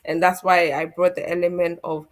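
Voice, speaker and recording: A woman with a slightly low voice talks through legal advice in a steady, methodical way. She sounds like a solicitor guiding viewers through a process. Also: normal speed. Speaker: immigration solicitor guest. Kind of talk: explaining legal advice on a community TV show.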